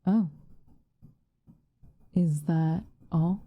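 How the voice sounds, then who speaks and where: A woman playing a stern but protective witch in an ASMR roleplay, speaking softly and formally, often in a breathy whisper. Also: lowish voice, dry recording, highs cut off, heavily acted. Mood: gently reassuring. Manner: measured, formal, gently commanding.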